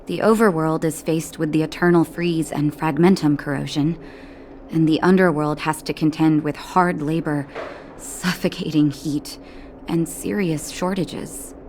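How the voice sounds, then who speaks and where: An actress voicing a calm, caring woman doctor in a video game, speaking in a gentle, reassuring way. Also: deep voice, measured pace, a little hiss or hum. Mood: gentle, serious. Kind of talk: scripted game dialogue. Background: music.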